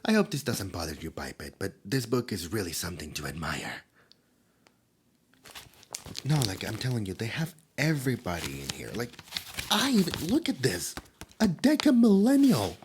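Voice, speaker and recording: A man's voice: on the low side, very animated, normal speed, background noise. A man voicing a nervous, lonely demon host who stammers and giggles through an audio roleplay. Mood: excited, chatty.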